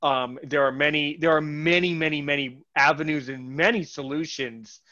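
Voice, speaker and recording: A man's voice: fairly high pitch, steady tone, normal speed, bright, forceful tone, highs cut off. A man hosting a podcast in casual conversation, rambling with many false starts and repeated words. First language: English. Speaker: podcast host.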